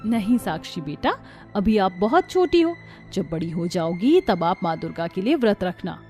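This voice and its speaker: A woman voicing a pious, long-suffering young mother in a cartoon, gentle, earnest and pleading. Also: medium pitch, big pitch swings, moderate pace, faint music behind, voice pushed down, lightly acted. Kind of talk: Hindi cartoon voice acting.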